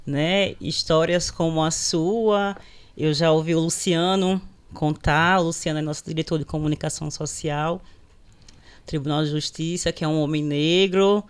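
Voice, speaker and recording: A woman in her thirties from Sergipe, with a Sergipe Brazilian accent, telling stories in an unhurried, conversational way as a guest on a videocast, often tagging her phrases with a questioning aside. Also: deep voice, fairly even intonation, clean tone. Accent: Sergipe Brazilian Portuguese. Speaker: public servant guest on a videocast. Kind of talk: conversational storytelling in a panel discussion.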